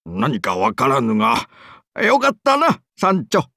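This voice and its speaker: An excitable old game knight, with a gravelly, husky voice. He speaks slowly and grandly, full of courtly reverence, and his pitch swoops about. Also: no echo, studio quality, full character voice. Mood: genial, reverent. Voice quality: creaky, husky. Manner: pompous, reverent, theatrical. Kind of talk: game character acting.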